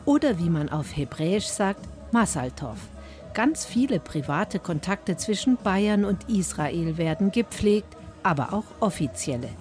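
A woman narrating a radio report in a clear, even way. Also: medium pitch.

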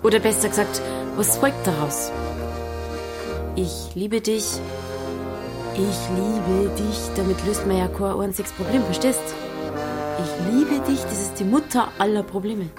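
Casting: actress playing brisk Bavarian innkeeper woman for radio drama, blunt, teasing, unsentimental, music behind. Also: mid-range voice, natural voice. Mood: argumentative. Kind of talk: audio drama dialogue in dialect.

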